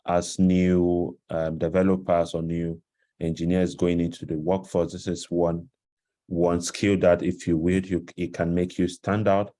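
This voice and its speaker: A man giving an explanatory presentation on a video call, haltingly searching for words. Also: low voice, darkish tone. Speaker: presenter of a learning module. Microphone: headset on a video call.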